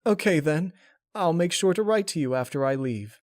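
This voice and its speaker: A man's voice: fairly high pitch, expressive, moderate pace, no room sound, clean studio sound, voice pushed up, natural voice. A man voicing an earnest, unsure young male dragon in a story reading, hesitant and boyish. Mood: bashful.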